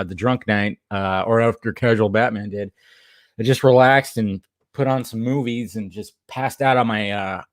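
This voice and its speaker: A young man with a hoarse, slightly deep voice talks loosely and rambles as he reads his live chat aloud. His tone sits in an ordinary middle pitch. The sound is close and dry, with no room echo. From Southern California. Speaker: live stream host. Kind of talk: casual live stream chat. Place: at home in his apartment.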